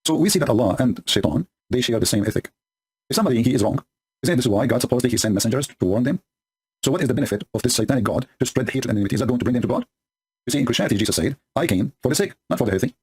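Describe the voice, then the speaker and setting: Male commentator, native Arabic speaker, husky voice, sarcastic and combative, broken English, sped-up recording. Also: medium pitch, animated, racing, darkish tone. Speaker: religious polemic commentator. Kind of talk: commentary reading and mocking scripture. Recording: sped up to double speed.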